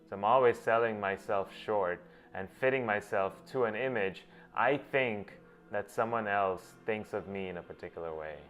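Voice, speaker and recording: A man with a lowish voice, a native Gujarati speaker, talks through his ideas in a thoughtful monologue and often circles back to restart a phrase. The sound is a bit dull and lo-fi, with faint music underneath. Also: fairly bright tone. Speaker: host. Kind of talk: reflective monologue.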